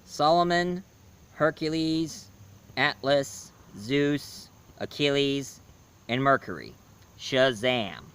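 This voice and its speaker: Slow-talking, hoarse male reviewer. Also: mid-range voice, expressive, fairly bright tone. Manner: rambling, corrects himself mid-sentence. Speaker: movie and comics reviewer. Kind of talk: casual spoken review.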